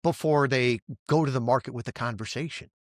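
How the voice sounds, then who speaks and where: A man's voice: mid-range voice, brisk, no echo, studio quality. A man hosting an interview in a conversational style, reflecting on points and asking questions. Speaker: interview host.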